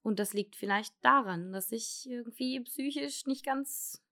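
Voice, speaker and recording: A young woman from Germany telling her own story in a candid, confessional way, halting often with fillers and restarts. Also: fairly high pitch, sharp tone. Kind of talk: personal testimony.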